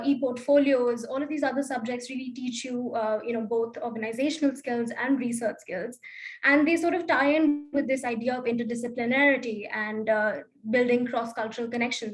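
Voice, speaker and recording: A woman's voice: a little high, low-quality recording. A teenage girl on a student panel, articulate and listing points, with frequent uhs. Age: teens. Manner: articulate, listy, many fillers. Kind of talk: answering panel questions.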